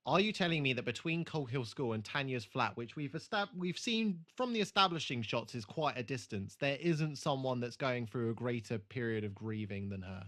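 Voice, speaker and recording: A man's voice: medium pitch, lively intonation, moderate pace, few pauses, fairly bright tone. A British man co-hosting a podcast, reviewing an episode in casual, hesitant chat as he thinks aloud. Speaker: podcast co-host.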